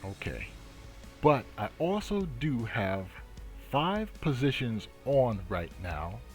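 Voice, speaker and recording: A man hosting a trading channel, giving unscripted market commentary in a halting, deliberate way. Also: mid-range voice, big pitch swings, soft background music.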